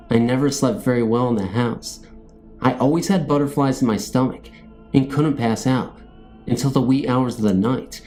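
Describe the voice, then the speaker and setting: A man's voice: mid-range voice, mellow. A man reading scary stories as a narrator, in a scripted storytelling style.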